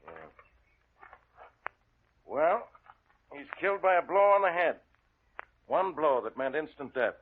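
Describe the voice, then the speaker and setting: An actor playing a gruff, irritable police inspector who is easily needled, in 1940s radio style. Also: male voice, on the high side, sing-song, slow, long pauses, heavily acted. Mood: probing, puzzled. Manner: blunt, exasperated. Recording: muffled, noisy old radio recording.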